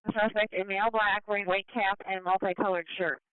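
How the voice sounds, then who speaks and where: A woman's voice: mid-range voice, fairly even intonation, brisk, clean tone, no room sound. A woman working as an emergency dispatcher, talking tersely over a two-way radio. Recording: tinny narrowband scanner radio audio.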